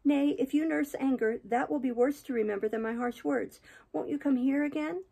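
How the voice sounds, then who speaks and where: A woman's voice: fairly quick, gravelly, dark tone, slightly rough, exaggerated voice. A woman voicing a bitter, dying young heroine. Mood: pleading. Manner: high, imploring, bitter.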